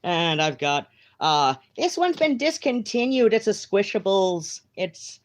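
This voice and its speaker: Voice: medium pitch, sing-song, a little slow. A live-stream host chatting casually from home, meandering through thoughts with lots of fillers and restarts.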